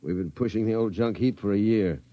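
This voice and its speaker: A man playing a gruff, slangy, down-on-his-luck rocket pilot in a 1950s radio drama. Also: lowish voice, a little fast, no room sound, muffled recording, close to an everyday voice. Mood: brusque, weary. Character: blunt down-on-his-luck rocket pilot running a fairground ride.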